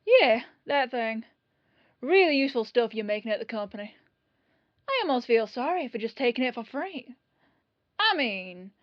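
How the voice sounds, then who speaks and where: A woman's voice: on the high side, sing-song, normal speed, long pauses, no echo, lo-fi sound. Woman playing a folksy, humble, rambling detective. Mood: apologetic, chatty. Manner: rambling, self-effacing, disarmingly casual. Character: folksy, humble, apologetic, chatty police detective. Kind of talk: ASMR roleplay audio drama.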